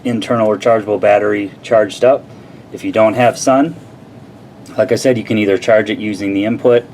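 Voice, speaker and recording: A man's voice: fairly low pitch, a little mellow. A man giving a casual, plain-spoken product review and demonstration in an informal way. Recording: clearly noisy background.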